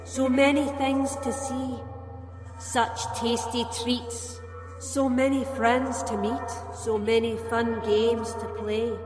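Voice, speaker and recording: Echoing, slow beckoning enchanted trees. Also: female voice, fairly high pitch, level tone. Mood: luring, enticing. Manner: slow, hypnotic, singsong enticement. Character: whispering enchanted trees luring a child, sweet and beckoning. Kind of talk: audio drama acting. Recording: long echoing reverb under music.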